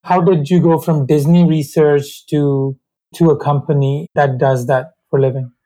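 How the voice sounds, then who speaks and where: Warm-voiced host introducing a guest. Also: male voice, on the high side, steady tone. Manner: measured, formal, slightly uneven grammar. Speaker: podcast host. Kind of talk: scripted intro and interview questions.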